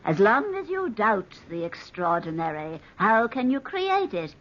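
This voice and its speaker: An actress playing a solemn, superstitious countess and fortune teller in a radio drama, speaking in an ominous, lofty, theatrical manner. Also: female voice, mid-range voice, very animated, unhurried, fairly bright tone. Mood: earnest, reproachful. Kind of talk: old-time radio drama acting. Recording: dull, lo-fi old radio recording.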